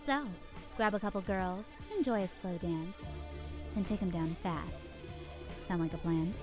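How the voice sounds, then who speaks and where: A woman's voice: on the low side, unhurried, music in the background, natural voice. An actress voicing a brash, streetwise vampire woman in a video game, with a blunt, playful swagger. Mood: flirty, confident.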